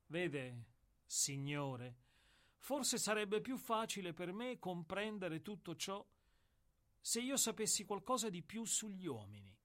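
An actor in a radio play playing an old, world-weary executioner who speaks in a deliberate, declamatory way with weighted pauses. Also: male voice, high-pitched, big pitch swings, fairly bright tone, heavily acted. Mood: brooding, humble. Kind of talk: dramatic radio play monologue.

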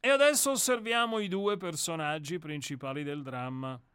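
A man's voice: a little high, moderate pace, a bit bright, clean tone, no room sound, close to an everyday voice. A man reading the part of a blunt, hard-drinking, bald novelist in a radio play, in a brusque, self-mocking way. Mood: wry. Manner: brusque, self-mocking first-person storytelling.

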